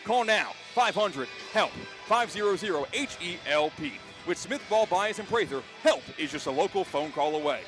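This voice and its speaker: An American man doing live play-by-play radio commentary of high school football, excitable and ready to shout the big calls. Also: normal speed, few pauses, music in the background. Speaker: play-by-play announcer.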